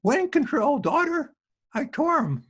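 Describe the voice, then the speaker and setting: A man playing a folksy old joker with a raspy voice, rambling along in an old-time radio comedy. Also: extremely high pitch, very animated, unhurried, fairly bright tone, full character voice. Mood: jokey. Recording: home video-call recording.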